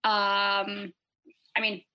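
A woman's voice: medium pitch, completely flat delivery, drawn-out words, highs cut off. A young woman from Norway, a guest speaking casually over a video call, hesitant with many fillers and restarts. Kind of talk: casual interview chat.

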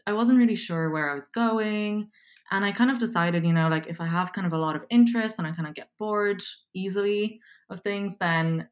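A woman in her twenties with an Irish accent, chatting casually as an interview guest and filling her talk with likes and ums. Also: low-pitched. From Poland. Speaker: guest, copywriter.

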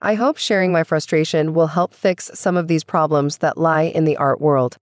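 Polite woman with a lowish voice. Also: fairly even intonation, moderate pace, no room sound, clean studio sound. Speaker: artist, listener contributor. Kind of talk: scripted personal statement.

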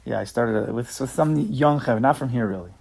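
A man leading a casual religious class among friends, animated and joking, often repeating his words. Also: medium pitch, brisk, creaky, husky. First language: not Hebrew. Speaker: class leader. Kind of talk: casual Torah class chat.

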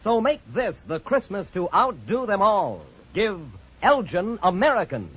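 A man delivering an emphatic 1940s radio sales pitch as a commercial announcer. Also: very animated, unhurried, a bit bright, husky. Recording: dull old radio recording.

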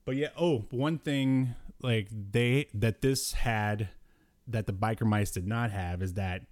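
Low-voiced man chatting on a podcast. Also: slow, darkish tone. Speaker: podcast host. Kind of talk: casual chat reviewing old cartoons.

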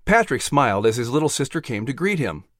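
A man narrating a children's story as announcer, with expressive, scripted storytelling delivery. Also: medium pitch, quick pace, dry recording, clean studio sound.

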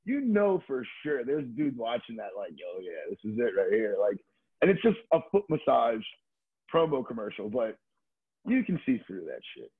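Middle-aged man, husky voice, casual storyteller. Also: medium pitch, big pitch swings, normal speed, narrow, tinny audio. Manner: informal, slangy, swearing, frequent "like" and "you know". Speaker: podcast guest, skateboarder.

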